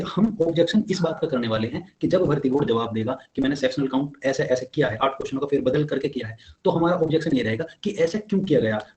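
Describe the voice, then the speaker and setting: Young man, mid-pitched mellow voice, informal peer-to-peer update, insistent, exhorting delivery. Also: rough recording. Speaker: exam candidate giving updates to fellow applicants. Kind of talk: informal spoken update, addressing peers.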